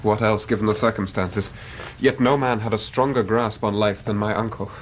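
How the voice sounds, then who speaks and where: Man acting anxious young victorian gent, lowish voice, formal n earnest, radio drama, bit of hiss. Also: steady tone, normal speed, lightly acted. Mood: earnest, grave. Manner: formal, earnest, precise period speech. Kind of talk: radio drama acting, narrating his own story.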